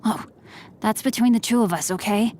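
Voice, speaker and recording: A woman's voice: on the high side, moderate pace, natural voice. Woman playing polite, playful young scholar-knight for game lines, faint noise behind. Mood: polite, composed. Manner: polite, formal and gently teasing. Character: refined young male swordsman scholar, courteous, playful.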